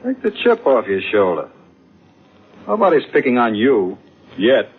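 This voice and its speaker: An actor playing a suspicious, needling police detective in an old-time radio crime drama, husky, blunt, clipped and wry. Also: male voice, mid-range voice, wildly swooping pitch, unhurried, full character voice. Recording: tinny vintage radio recording.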